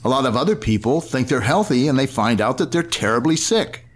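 A man's voice: mid-range voice, expressive, a little fast, slight background noise, lightly acted. A man playing a patient but exasperated father explaining things to his little girl in an old-time radio comedy.

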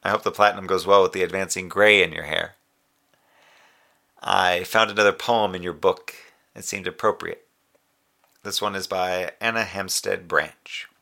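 An actor playing a middle-aged, conscientious moonbase communications officer in a sci-fi audio drama, with a gravelly, wry, weary delivery. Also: male voice, sits low, fairly even intonation, moderate pace, sharp tone, full character voice. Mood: tender, wistful. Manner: formal reporting with dry sarcasm and tenderness. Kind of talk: audio drama monologue as a radio report.

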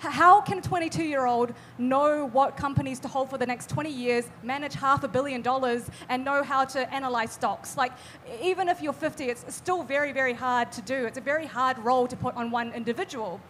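A woman's voice: high voice, brisk, hardly any pauses, fairly bright tone, a little hiss or hum. A young woman from New Zealand, a guest artist, talking earnestly on a live event panel with many fillers and restarts.

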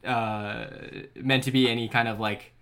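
A man's voice: medium pitch, steady tone, measured pace, fairly bright tone. Young man, casual commentary. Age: early 20s. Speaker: co-host. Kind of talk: casual film discussion chat.

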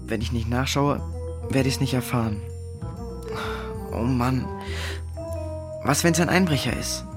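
A performer playing an anxious, self-doubting boy in a children's audio drama, hushed and hesitant. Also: mid-range voice, unhurried, music in the background, lightly acted. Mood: nervous.

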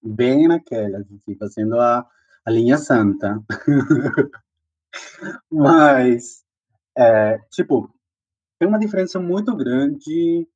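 Slow-talking Peruvian man, unhurried. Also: medium pitch, expressive, darkish tone, slightly rough, lo-fi sound. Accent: Peruvian Spanish. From Peru. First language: Spanish. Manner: slow, unhurried, chatty with many fillers. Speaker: interviewee. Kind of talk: casual personal storytelling.

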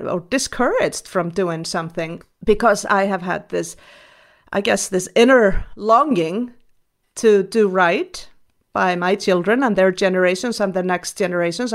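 A woman talks through her answers in a steady, mid-pitched voice. She is earnest and measured, pausing on ums and starting over now and then. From Iceland. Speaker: guest. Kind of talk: reflective interview answers.

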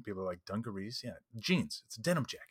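A man's voice: on the low side, big pitch swings, fast, breathy edge, dry recording, studio quality. A man co-hosting a podcast, telling small anecdotes in casual conversation. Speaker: podcast co-host.

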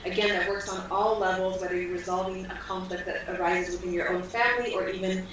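A woman's voice: lowish voice, steady tone, fairly quick, fairly bright tone. A woman guest describing her school in flowing, earnest interview answers with frequent ums, heard from far off in a very echoey, noisy room.

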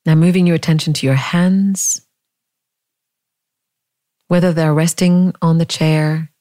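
Slow, mellow woman guiding meditation. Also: deep voice, little pitch movement, no echo, clean studio sound. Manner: gentle, invitational questions with long pauses. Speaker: meditation teacher. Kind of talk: guided meditation.

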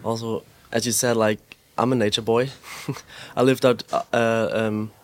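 Laid-back young man from Austria. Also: lowish voice. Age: young adult. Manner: relaxed, fills pauses with "like" and "um", laughs easily. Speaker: podcast guest. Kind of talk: casual interview chat. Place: pop-up store.

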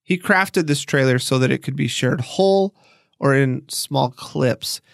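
A man hosting a podcast, narrating and discussing in a clear explanatory podcast style. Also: mid-range voice, unhurried, no echo, clean studio sound. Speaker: podcast host, interviewer. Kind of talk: scripted podcast narration with conversational interview.

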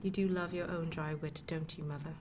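A woman plays an earnest, defiant daughter in a period radio drama. Her voice sits a little low and her phrasing is formal, with clear background noise around it. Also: fairly even intonation, brisk, lightly acted. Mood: reassuring. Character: earnest, defiant grown daughter, 18th-century young lady. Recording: noisy background ambience.

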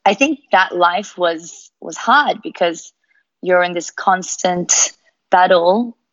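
A woman's voice: on the low side, slow, a bit bright, dry recording, muffled recording. A woman in her thirties with an Australian accent, speaking candidly and reflectively as a podcast guest. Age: 30s. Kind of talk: interview conversation.

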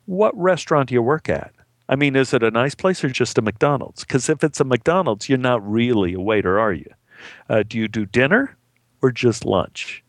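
A man's voice: medium pitch, normal speed, no echo, studio quality, close to an everyday voice. A man voicing a condescending, nosy customer in a comic story, belittling with mock sympathy.

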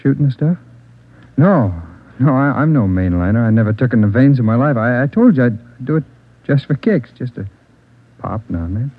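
A jittery suspect, husky voice, stammering, evasive, old radio sound. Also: male voice, mid-range voice, animated, normal speed. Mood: nervous evasive. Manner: stammering, evasive, repeats questions back. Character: jittery male drug suspect under questioning. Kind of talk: 1950s radio drama acting. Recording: dull old radio recording.

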